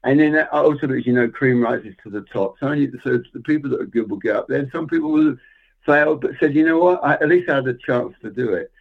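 A man's voice: medium pitch, quick pace. Englishman on a tinny phone-like line. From England. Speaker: guest. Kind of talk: casual interview chat.

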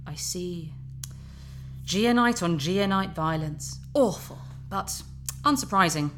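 A theatrical, smug propaganda show host. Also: female voice, fairly low pitch, expressive, slow pace, fairly bright tone, soft background music. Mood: smooth, leading. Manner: theatrical, pompous, emphatic. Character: smug, manipulative human propaganda talk-show host, warmongering woman. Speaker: talk-show host character. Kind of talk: sci-fi audio drama acting, theatrical broadcast patter.

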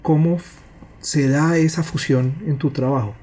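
A man's voice: fairly high pitch, steady tone, measured pace, darkish tone. A man hosting an interview, asking long, winding questions. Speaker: interviewer and host.

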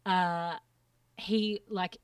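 A woman's voice: medium pitch, steady tone, drawn-out words, clear, resonant tone, no echo, distant mic. Woman, casual podcast guest, enthusiastic, dry room, a bit off-mic. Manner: enthusiastic, gushing about music.

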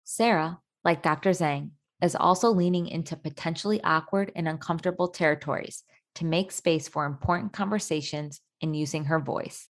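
Low-pitched woman narrator. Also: moderate pace, hardly any pauses. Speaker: host and narrator. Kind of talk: scripted podcast narration.

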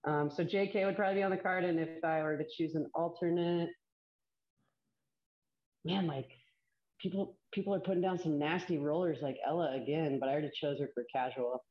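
A woman's voice: deep voice, steady tone, a little fast. A young woman talking casually as an interview guest, chatty and bubbly, laughing often. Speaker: guest, disc golf player and commentator. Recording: echoey, dull lo-fi call audio.

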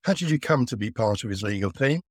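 A man's voice: fairly low pitch, lively intonation, quick pace, dry recording. A man co-hosting a podcast, giving the show opening and asking a guest polite, courteous questions. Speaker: podcast co-host. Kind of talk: podcast intro and interviewing. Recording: dry voice with faint music behind.

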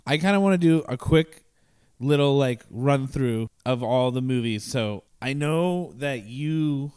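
A man's voice: medium pitch, expressive, a little slow, darkish tone, clean tone. A man co-hosting a podcast at home, chatting casually and rambling with many fillers, close to a shared handheld mic. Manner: rambling, lots of fillers and restarts. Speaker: podcast co-host. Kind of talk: casual chat. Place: at home with a cat. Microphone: shared handheld mic held close.

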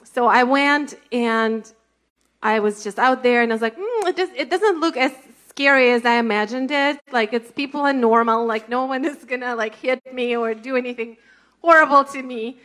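Animated woman with a Russian accent. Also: on the high side, moderate pace. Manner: animated, laughing, storytelling with quoted thoughts. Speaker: ministry leader sharing testimony. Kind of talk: conversational church talk.

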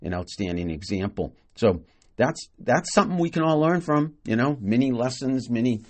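A man's voice: medium pitch, lively intonation, moderate pace. A man hosting a solo podcast, talking casually and enthusiastically, with many fillers and restarts. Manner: enthusiastic, praising. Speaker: podcast host. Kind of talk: solo podcast monologue on marketing.

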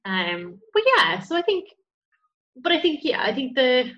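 A woman's voice: sits high, sing-song, unhurried, fairly bright tone. A woman explaining her field informally as an interview guest, in a tentative way, on muffled lo-fi audio.

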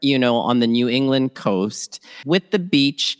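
Expressive Philippine-born podcast man. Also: mid-range voice, measured pace, smooth. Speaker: podcast co-host. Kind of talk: casual chat.

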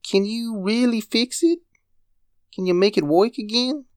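A woman's voice: on the high side, a little slow, a little mellow, no room sound, studio quality, voice pushed up, close to an everyday voice. A woman voicing a small, anxious boy in a story, plaintive and demanding yet unsure of himself. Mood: hesitant.

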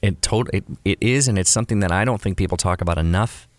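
Deep-voiced male host, easygoing and affirming, dry studio sound. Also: quick pace, dry recording. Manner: agreeable, frequent affirmations. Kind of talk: casual interview chat.

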